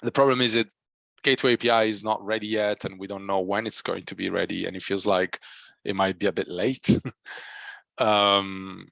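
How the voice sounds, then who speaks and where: A man giving an informal technical status update on an online meeting call, explaining things haltingly with frequent ums and pauses. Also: on the low side, little pitch movement, gravelly, a bit bright, no echo. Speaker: software working group member giving a project update. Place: online meeting call, dry close sound.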